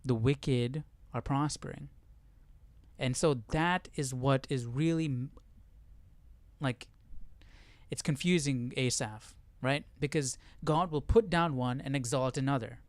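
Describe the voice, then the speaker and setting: A man's voice: fairly high pitch, dry recording. Casual man leading study, dry close sound. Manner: conversational, seeks agreement with tag questions. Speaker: Bible study host. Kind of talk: casual Bible study commentary with verse reading.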